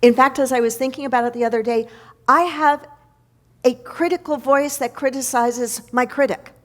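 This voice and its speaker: Woman minister, slightly high voice, gentle sermon with deliberate emphatic pauses. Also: steady tone. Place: church sanctuary.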